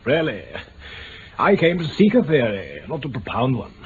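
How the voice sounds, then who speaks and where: An actor with an upper-class English accent playing a stiff, haughty lord, hesitant and condescending, in mid-century radio drama style. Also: male voice, mid-range voice, huge pitch swings, normal speed. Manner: hesitant, pompous, formal. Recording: dull, hissy old radio recording.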